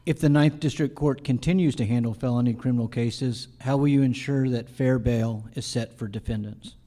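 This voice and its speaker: A man's voice: medium pitch, steady tone, moderate pace, warm tone. A man moderating a forum, formally thanking speakers and putting questions to them.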